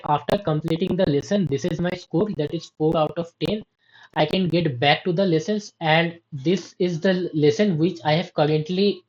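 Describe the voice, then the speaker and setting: A woman demonstrating her software in a slow, explanatory way, often restarting her sentences. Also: deep voice, dull audio. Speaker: app developer giving a demo. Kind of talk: screen-recorded software walkthrough.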